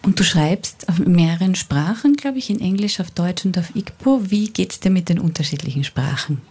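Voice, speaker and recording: A woman with a husky, slightly low voice hosts a literary evening live. She introduces and questions her guests, often pausing and restarting her sentences. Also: animated, warm tone. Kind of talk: live event introduction and interview.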